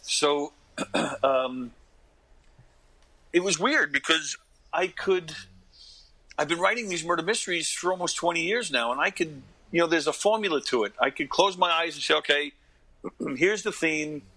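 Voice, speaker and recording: An American man in his fifties, an interview guest, talking casually in a rambling, self-deprecating way and often clearing his throat. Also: a little high, measured pace, pauses often, bright, forceful tone, rough recording. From Long Island, New York, United States. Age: 50s. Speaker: interview guest, actor and comedy performer. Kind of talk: casual conversational storytelling.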